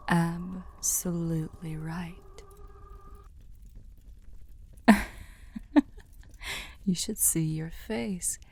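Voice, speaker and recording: Woman doing a sly demon lady for asmr, lowish soft voice, breathy whispery bits, super close and dry, teasing and formal. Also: dry recording. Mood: amused teasing. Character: teasing, sly female demon offering a soul contract. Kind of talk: scripted ASMR roleplay.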